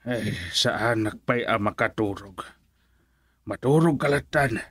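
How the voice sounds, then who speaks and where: A man's voice: on the low side, a little slow, a bit bright, breathy edge, no room sound, full character voice. A man playing an upset, drunken old father in a radio drama, grumbling and emotional. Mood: aggrieved, bitter. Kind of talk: Ilocano radio drama acting.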